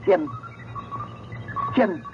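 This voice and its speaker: An actor playing a sinister talking machine in a 1970s radio drama, calm and insistent, with halting, deliberate phrasing. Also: male voice. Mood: menacing. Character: sinister talking computer, calmly menacing and insistent. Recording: dull vintage radio recording, faint music.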